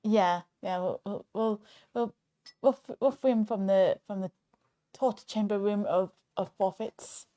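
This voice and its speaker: A woman's voice: mid-range voice, fairly even intonation, measured pace, mellow. A woman co-hosting a casual live show. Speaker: show co-host.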